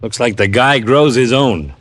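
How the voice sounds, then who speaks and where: A man acting a gruff, sarcastic, wisecracking American police lieutenant in a 1950s radio drama. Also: medium pitch, expressive, unhurried, a bit bright. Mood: weary, sarcastic.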